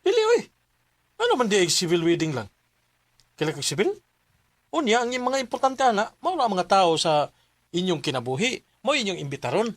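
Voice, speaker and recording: High, animated man. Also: moderate pace, long pauses. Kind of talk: radio drama acting.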